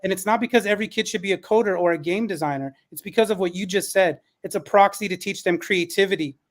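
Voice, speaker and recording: Man, notably high pitch, conversational panel host, schoolteacher, earnest, appreciative. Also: fast talker. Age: adult, parent of a newborn.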